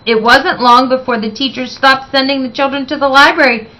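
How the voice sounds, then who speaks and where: A woman reading a picture book aloud in an expressive, deliberate way, stressing words for young listeners. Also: sits high, low-quality recording. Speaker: children's story reader.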